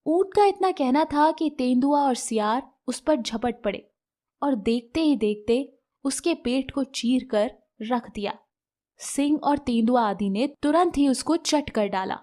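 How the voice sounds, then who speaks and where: A woman's voice: high voice, measured pace, warm tone. A woman narrating a scripted animal fable for children as a storyteller.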